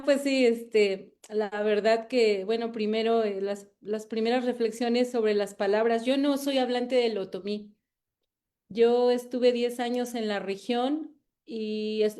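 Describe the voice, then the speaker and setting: A woman giving a thoughtful academic talk as an invited researcher, often pausing and repeating words while she searches for them. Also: a little high, dark tone.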